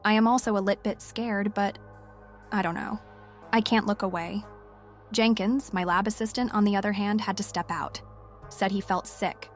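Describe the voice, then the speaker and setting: Actress as woman scientist doing a lab log, kinda scared and obsessed, halting and intense, bit of music behind. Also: medium pitch, natural voice. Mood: awed unease, then absorbed, resolute. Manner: hesitant, confiding, intense. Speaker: voice actor in audio drama. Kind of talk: dramatic monologue as spoken diary. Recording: music under the voice.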